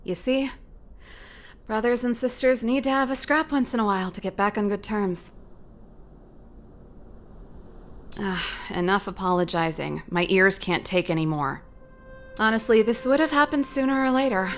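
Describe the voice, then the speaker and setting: A woman's voice: mid-range voice, moderate pace, soft background music, natural voice. A woman voice-acting a playful, candid and theatrical ex-soldier in a video game. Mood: teasing. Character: spirited, teasing woman ex-soldier with a showy streak.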